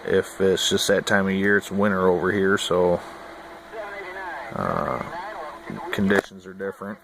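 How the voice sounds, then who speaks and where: A man's voice: low voice, measured pace, vocal fry, crowd noise. An American man chatting casually to camera in a laid-back, folksy, hesitant way, like a rambling hobbyist. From United States. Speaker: hobbyist vlogger. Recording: noisy amateur camera audio.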